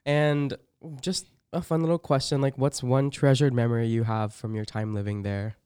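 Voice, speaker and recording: A young man, a first-year student, hosting a casual interview in a friendly, relaxed way with some ums. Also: mid-range voice, normal speed, smooth, no room sound, clean studio sound. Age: young adult.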